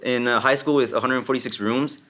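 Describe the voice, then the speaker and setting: A man's voice: medium pitch, fairly even intonation, fairly quick, fairly bright tone. A teenage boy from Brownsville, Texas, explaining costs and figures in a student presentation, with some hesitations. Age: teens. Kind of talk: rehearsed presentation.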